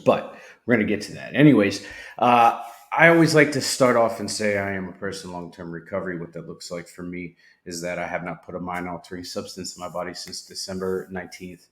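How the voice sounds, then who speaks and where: A man sharing his recovery story as a podcast guest, sincere and reflective, with pauses and restarts. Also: low voice, steady tone, fairly bright tone. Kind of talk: personal testimony. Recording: roomy echo.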